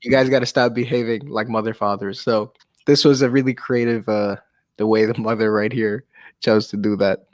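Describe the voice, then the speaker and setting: A man's voice: on the low side, fairly even intonation, moderate pace, dry recording. A man reading a children's book aloud as a storyteller, with jokey, informal asides. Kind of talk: animated read-aloud with casual chat.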